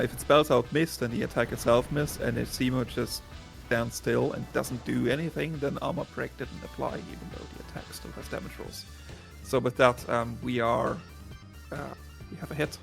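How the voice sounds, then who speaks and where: A man's voice: medium pitch, creaky. A man giving casual, explanatory commentary on a video game run, with game music playing behind him. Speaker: speedrun commentator.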